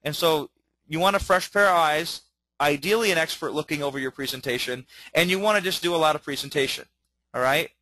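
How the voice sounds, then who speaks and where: A man teaching a webinar in an energetic way, often checking in with okay and all right. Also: fairly high pitch, a little fast, dry recording, low-quality recording. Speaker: webinar trainer.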